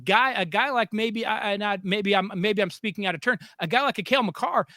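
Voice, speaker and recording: A man's voice: high-pitched, fairly quick, bright, forceful tone, dry recording, clean studio sound. A man hosting a sports podcast, introducing his guest and asking questions with eager enthusiasm. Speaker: podcast host. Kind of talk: casual sports interview.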